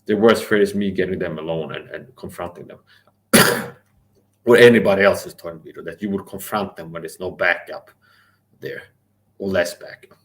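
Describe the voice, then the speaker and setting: A man with a very low, deep voice holds forth in an angry, defiant livestream rant. He swears freely, repeats himself and challenges his listeners directly. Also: normal speed, long pauses. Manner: angry, defiant, profane, repetitive. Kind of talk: ranting live monologue.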